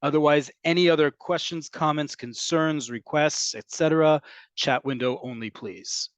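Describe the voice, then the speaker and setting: A man's voice: on the high side, fairly even intonation, normal speed, smooth, no echo. A man from North America teaching an online class over a video call, explaining at length in a conversational way with frequent ums. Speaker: Hebrew teacher.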